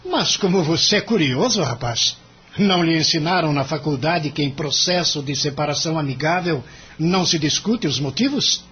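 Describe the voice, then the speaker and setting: A man's voice: on the high side, fairly bright tone, husky, highs cut off, full character voice. An actor playing a stern, impatient senior lawyer in an audio drama, formal, curt and condescending with his junior. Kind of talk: radio drama acting.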